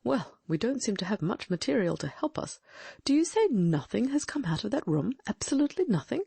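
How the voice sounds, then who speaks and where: A woman's voice: medium pitch, big pitch swings, brisk, creaky, mellow, husky, no room sound, heavily acted. A woman voicing a brilliant, confident Victorian detective in an audiobook, reasoning aloud with precise diction. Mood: questioning. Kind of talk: audiobook dramatic reading.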